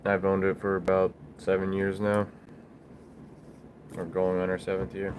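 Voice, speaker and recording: A man hosting a casual livestream, talking in a halting, rambling, informal way as he answers viewers. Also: low-pitched, monotone, slight background noise, low-quality recording. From Bulgaria. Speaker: livestream host, small business owner.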